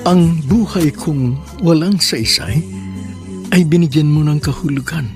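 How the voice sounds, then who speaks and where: A man's voice: fairly high pitch, a little slow. Male radio drama host with music. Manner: warm, formal, polite address. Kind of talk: scripted romantic narration.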